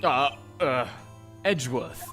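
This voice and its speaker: A man acts out a proud prosecutor in a game fandub. He talks in a stiff, formal, aloof way at an ordinary male pitch, over faint music. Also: slow, a bit bright. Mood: uneasy, aloof. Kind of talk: video game fandub voice acting.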